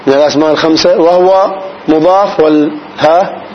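A man's voice: fairly high pitch, fairly even intonation. Man teaching grammar, checking the class. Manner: explains slowly, often checks the class's understanding. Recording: dull recording with faint noise.

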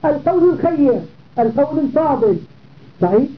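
A man's voice: very high voice, expressive, a little fast. Methodical male grammar teacher, tinny audio. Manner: methodical, repeats phrases and checks understanding. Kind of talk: classical grammar lesson. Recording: tinny phone-like audio with faint noise.

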